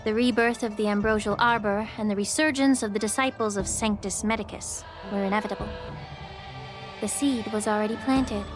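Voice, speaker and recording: A woman voicing a calm, gracious young woman alchemist in a game, speaking gently and reflectively. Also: mid-range voice, brisk, natural voice. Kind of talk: game voice acting. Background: game music.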